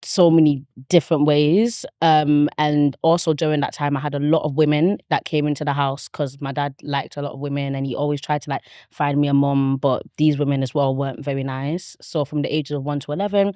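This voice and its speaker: A woman's voice: low-pitched, flat delivery, fairly quick, few pauses, dark tone, no echo, studio quality. A woman in her twenties with a Manchester accent, talking openly and casually as an interview guest, with frequent fillers. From Manchester, England. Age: 20s. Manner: open and candid, chatty with frequent fillers.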